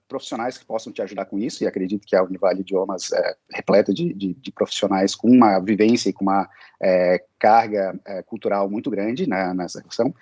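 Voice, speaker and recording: A man's voice: lowish voice, darkish tone, breathy edge, no room sound, muffled recording. A man teaching English, answering interview questions in a hesitant way, with many fillers and restarts.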